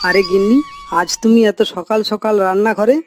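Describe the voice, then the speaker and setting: A man's voice: sits high, fairly even intonation, brisk, lightly acted. Cartoon son and husband, played by a man: earnest, concerned, chiding delivery, faint music bed. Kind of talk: cartoon moral story voice acting.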